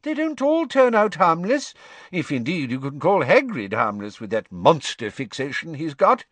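A man's voice: a little high, big pitch swings, normal speed, dry recording, pitched above the performer's own voice. A man reading an audiobook and voicing a blustering, pompous middle-aged male official who gets flustered and insistent.

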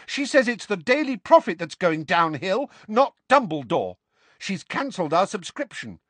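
A man's voice: sits high, very animated, moderate pace, a bit bright, no echo, pitched above the performer's own voice, heavily acted. A man voicing a shy teenage boy who speaks simply and sincerely. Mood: earnest. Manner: plain, simple.

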